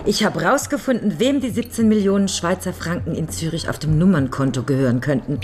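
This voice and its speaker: A woman's voice: fairly low pitch, brisk, darkish tone, natural voice. An actress playing a careful, methodical middle-aged woman who explains things drily, in a German radio crime drama. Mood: measured, explanatory. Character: careful, methodical lawyer woman, amateur sleuth friend.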